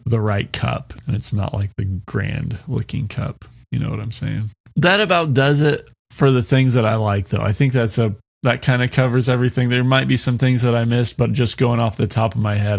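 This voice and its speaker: A man's voice: medium pitch, darkish tone. A man giving a casual, unscripted movie review in a rambling, conversational way, blunt and prone to swearing.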